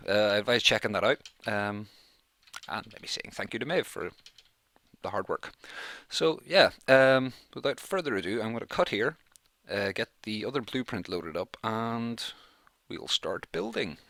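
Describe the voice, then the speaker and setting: A man records a casual let's play, thinking aloud as he goes. His voice is fairly low and bright, with a crisp forward edge. The sound is close and dry, with little room in it. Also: no echo. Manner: chatty, thinks aloud while playing. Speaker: gaming YouTuber, let's play host.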